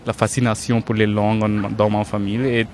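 A man's voice: fairly low pitch, level tone, a little fast, darkish tone, slight background noise. A man from North India, a native Hindi speaker, talking in careful, hesitant French as an interview guest, with many restarts and fillers. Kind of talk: casual conversational interview.

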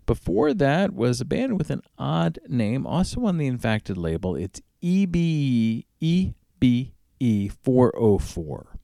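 A middle-aged man hosting a music radio show, back-announcing tracks in a relaxed, rambling way and spelling out band names. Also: medium pitch, slow pace, gravelly, hardly any pauses, no room sound, clean studio sound.

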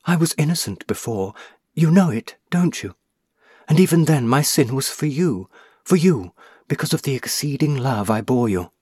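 Give me a sorrowful young woman ghost, a burned witch, speaking softly and lovingly, her voice climbing high when grief takes hold, as a man reading a storybook puts her on, in a dry, close recording. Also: expressive, moderate pace, slightly rough, dry recording. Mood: tender. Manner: pleading, tender, rising to anguish. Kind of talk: audiobook reading.

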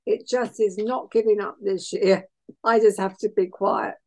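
Female radio host, croaky with hay fever, gravelly and unhurried, chatty sign-off. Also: medium pitch, fairly even intonation. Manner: chatty, laughing, warm asides. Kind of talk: casual radio sign-off chat.

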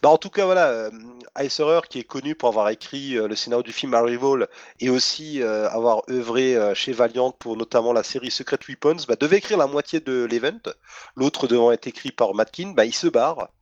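A man's voice: medium pitch, a little fast, dry recording, dull audio. Congested man with a head cold. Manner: hesitant, many fillers. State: stuffy nose from a cold. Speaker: comics news presenter. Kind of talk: casual podcast chat.

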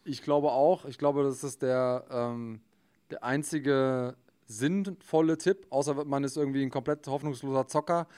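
A man's voice: mid-range voice, steady tone, a little slow, darkish tone. A man co-hosting a podcast who explains his view at length, thinking aloud with frequent fillers. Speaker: podcast co-host. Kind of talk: casual chat.

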